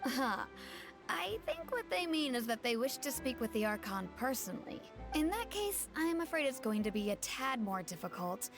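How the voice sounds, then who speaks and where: A high, animated young townswoman from a video game. She explains with polite pride and earnest expression, her pitch moving freely. Music plays clearly behind her. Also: normal speed. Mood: helpful. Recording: background music under voice.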